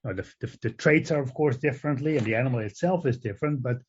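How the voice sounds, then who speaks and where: An older man from the Netherlands with a Dutch accent, a professor answering interview questions, pausing often with fillers and restarts. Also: mid-range voice, a little mellow, a little hiss or hum. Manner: hesitant, many fillers and restarts. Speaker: guest, professor of animal genetics. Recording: dull lo-fi sound.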